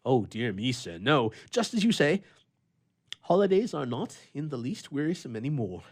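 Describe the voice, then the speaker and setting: A man playing a hearty old-fashioned bachelor gentleman recounting a story theatrically for a radio play. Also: medium pitch, very animated, normal speed. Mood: cheerful, reminiscing. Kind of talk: dramatic reading for radio theatre.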